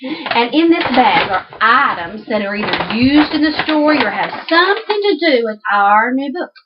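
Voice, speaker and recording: Higher-pitched Southern woman teacher, muffled noisy recording. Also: very animated, moderate pace. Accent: Southern American. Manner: repeats children's answers back, prompting. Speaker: teacher reading aloud to children. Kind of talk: interactive read-aloud lesson with questions.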